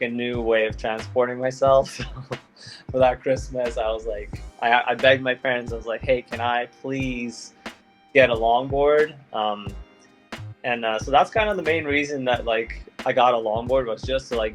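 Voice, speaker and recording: A man's voice: mid-range voice, steady tone, normal speed, soft background music. A young man from Belgium, a guest skater talking in a loose, laid-back way with lots of fillers. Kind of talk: casual interview chat.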